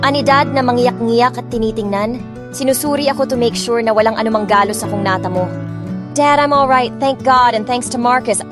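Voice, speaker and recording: A woman's voice: fairly high pitch, fairly quick, lightly acted. A young woman playing a lovestruck heiress, narrating her own romance story in an emotive, dramatic way. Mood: anxious. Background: music.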